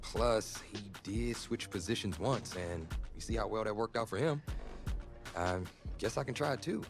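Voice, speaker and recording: An actor playing an eager, wry young college quarterback in a video game drama, casual and expressive. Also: male voice, lowish voice, normal speed, over music, close to an everyday voice. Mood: wry, resigned. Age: young adult.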